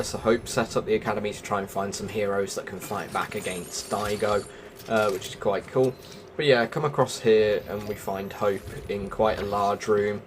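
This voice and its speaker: A man's voice: lowish voice, noisy background. A man giving casual walkthrough commentary as the host of a gaming video. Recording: music behind the voice.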